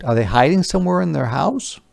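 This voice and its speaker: A man reading a picture book aloud as a teacher would to young children, expressive, explaining and questioning. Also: a little high, brisk, creaky.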